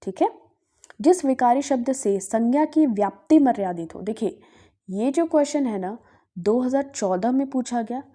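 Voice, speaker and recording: Mellow-voiced Hindi tutor woman. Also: a little high, expressive, moderate pace. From Bihar, India. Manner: asks herself questions and answers them, often says "ठीक है". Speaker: exam prep teacher. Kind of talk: Hindi grammar lesson, solving past exam questions.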